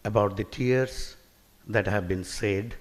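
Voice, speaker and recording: A man reading his poem in a slow, wry declamation at a literature festival. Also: fairly low pitch. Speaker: poet. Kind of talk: poetry reading. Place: festival hall. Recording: long hall reverb.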